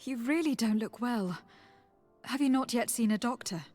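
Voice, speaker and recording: An actress playing a caring young noblewoman and envoy in a video game, speaking in an earnest, measured, formal way. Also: a little high, darkish tone, soft background music, lightly acted. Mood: concerned, polite. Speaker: voice actor. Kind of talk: video game cutscene dialogue.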